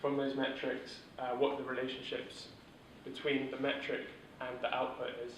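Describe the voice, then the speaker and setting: A man leading a startup workshop, explaining principles in an informal, steady way with fillers. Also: medium pitch, normal speed, slight background noise. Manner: steady, monotone delivery with filler words. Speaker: workshop instructor. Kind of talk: informal teaching talk. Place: large echoey room. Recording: echoey room from far off.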